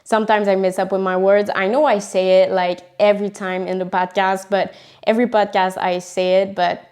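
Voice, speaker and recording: A woman's voice: mid-range voice, flat delivery, moderate pace, darkish tone. French-accented woman, earnest podcast chat. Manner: earnest, with frequent fillers and restarts. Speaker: podcast host. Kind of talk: casual motivational podcast talk.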